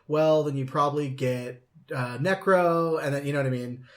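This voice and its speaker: A man's voice: on the high side, moderate pace. A man in his late twenties chatting casually as a podcast host, telling rambling anecdotes. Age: late 20s.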